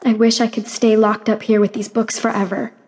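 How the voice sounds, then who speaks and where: A woman's voice: medium pitch, little pitch movement, fairly quick, darkish tone, echoey room, lightly acted. An actress playing a proud, bookish young noblewoman in an audio drama, earnest and indignant in manner. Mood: wistful. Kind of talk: full-cast audio drama acting.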